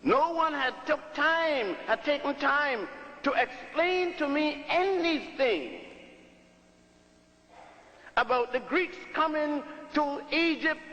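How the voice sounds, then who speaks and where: A man's voice: very high-pitched, animated, takes it slowly, bright, forceful tone. An older man from Puerto Rico giving an emphatic, storytelling public lecture in a hall, repeating words for stress. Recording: echoey hall.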